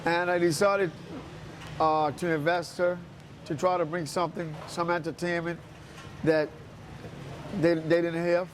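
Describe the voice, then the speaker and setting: A man from Bermuda with a high voice chats as an interview guest. He tells stories conversationally, often quoting people and repeating himself. Faint outdoor noise sits behind him. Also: measured pace, long pauses. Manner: animated anecdotes, quotes people, repeats phrases. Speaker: interview guest, restaurant owner. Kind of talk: casual storytelling chat.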